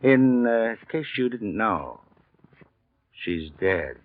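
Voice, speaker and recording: Low-voiced, probing homicide lieutenant. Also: male voice, lively intonation, drawn-out words. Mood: probing, casual. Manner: offhand, hesitant probing, polite but insistent. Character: probing, casually persistent male homicide police lieutenant. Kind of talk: 1940s radio acting. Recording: dull 1940s radio recording.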